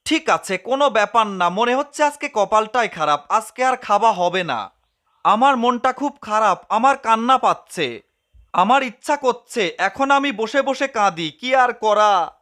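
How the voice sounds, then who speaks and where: A man's voice: high-pitched, lively intonation, a little slow, pushed and bright, heavily acted. A man voicing a whiny, melodramatic, hungry male cat in a cartoon dub. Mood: sad, self-pitying. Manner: melodramatic, exclaiming.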